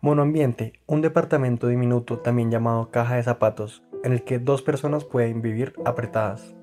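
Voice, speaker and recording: Male podcast host, scripted narration, fast pace, clear steady reading, faint music. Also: medium pitch. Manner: clear, steady, read-aloud delivery.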